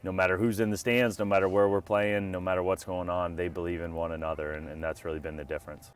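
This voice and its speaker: Casting: middle-aged male football coach in interview, lowish voice, a little off-mic. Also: little pitch movement, fast talker, distant mic. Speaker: high school football head coach. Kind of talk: interview soundbite.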